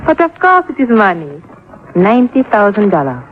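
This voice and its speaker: A woman's voice: medium pitch, sing-song, normal speed, natural voice. Actress as a flirtatious foreign woman, giggly, coy, lilting delivery, tinny noisy vintage radio drama. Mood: coy, teasing. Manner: coy, speaks of herself in third person. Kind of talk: old-time radio acting.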